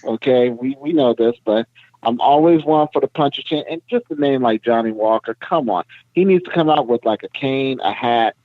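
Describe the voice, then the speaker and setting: A man co-hosting a casual podcast, talking through fight picks in a playful, animated way. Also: medium pitch, normal speed, dry recording, phone-quality sound. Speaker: podcast co-host.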